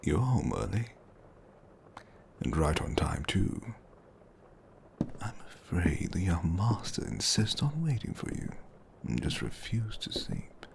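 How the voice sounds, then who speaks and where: A man playing a suave, teasing butler babysitter in an ASMR roleplay, speaking formally and gently firm in a breathy, close whisper. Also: sing-song, a little hiss or hum, full character voice. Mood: polite. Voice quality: breathy, close whisper with creaky low tones. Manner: formal, polite, unhurried.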